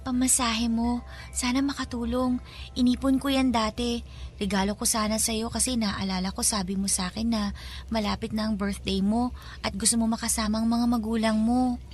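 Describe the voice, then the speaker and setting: An actress playing a kind, timid young girl in a Filipino radio drama, speaking in a hushed, childlike, earnest way. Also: fairly high pitch, flat delivery, moderate pace, faint music behind, natural voice. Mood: hushed, caring.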